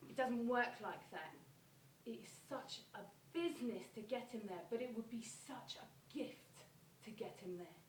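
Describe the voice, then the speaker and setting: A woman's voice: on the high side, animated, a little slow. A woman playing an earnest, grandiose promoter who boasts of a famous friend, in a stage monologue. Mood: earnest, pleading. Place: theatre performance space, recorded from far off. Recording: distant, echoey, noisy stage recording.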